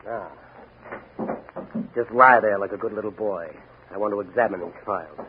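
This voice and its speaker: A man's voice: fairly low pitch, moderate pace, natural voice. A man playing a tough, confident young masked crimefighter in a 1940s radio drama, American accent, commanding and wry. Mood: brisk, self-assured. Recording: crackly, narrow-band 1940 radio recording.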